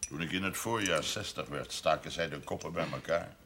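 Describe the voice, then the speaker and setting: An actor playing a proud, blunt, domineering sixty-year-old farmer in a seventies television drama. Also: male voice, very deep voice, creaky, sharp tone, exaggerated voice. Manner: blunt, commanding, deliberate storytelling. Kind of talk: 1970s Dutch television drama acting. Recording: noisy old television soundtrack.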